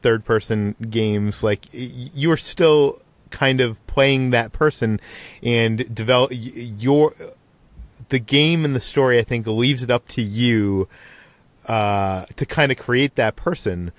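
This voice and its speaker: A man hosting a casual film discussion, putting roundabout questions in a halting way. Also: medium pitch, no echo. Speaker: podcast host.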